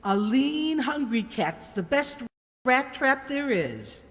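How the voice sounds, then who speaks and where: A woman's voice: high voice, sing-song, takes it slowly, exaggerated voice. A woman playing a bossy, sarcastic cook in old London in an amateur radio play. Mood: brusque, scolding. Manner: bossy, mocking, scornful. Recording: echoey hall, long reverb.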